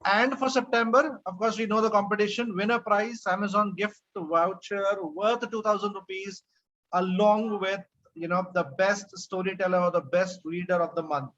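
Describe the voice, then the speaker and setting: A man's voice: high voice, fairly even intonation, moderate pace, a bit bright. A man with an Indian accent informally hosting a book club and thanking the participants. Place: online group meeting. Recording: muffled online call with noise and clicks.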